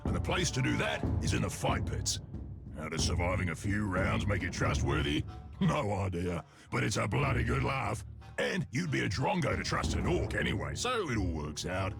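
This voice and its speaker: A bloodthirsty orc henchman, gravelly and fairly low. He is chummy, crude and boastful, and his pitch swings about like a game sidekick enjoying himself. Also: very animated, moderate pace, music in the background, full character voice. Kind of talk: video game character acting.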